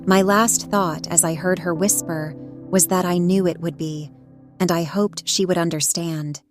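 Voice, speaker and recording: A woman voicing a devoted, perceptive pet cat, telling a story in a measured, earnest way. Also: on the low side, fairly even intonation, lightly acted. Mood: loving, concerned. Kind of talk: first-person dramatic story narration. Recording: narration over prominent music.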